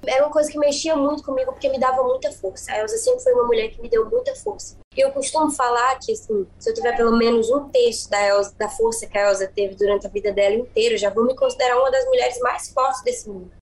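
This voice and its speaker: A woman's voice: high voice, quick pace, hardly any pauses, husky, low-quality recording. Young northeastern Brazilian woman, earnest. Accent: Paraíba, northeastern Brazilian. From Northeast Brazil (Bahia-born, raised in Paraíba). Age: young adult. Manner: earnest, emphatic, repeats words for stress. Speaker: singer-songwriter guest. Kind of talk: radio podcast interview.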